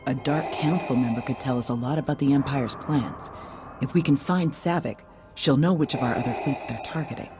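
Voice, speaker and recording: Actor as male military officer, sober, businesslike, medium pitch, game music behind. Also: fairly quick, natural voice.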